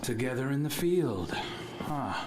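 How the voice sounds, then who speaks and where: An actor playing a wary, wry hunter scout in a video game, with an understated, dry delivery. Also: male voice, medium pitch, big pitch swings, a little slow, background noise. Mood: wry, focused. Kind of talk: video game cutscene acting.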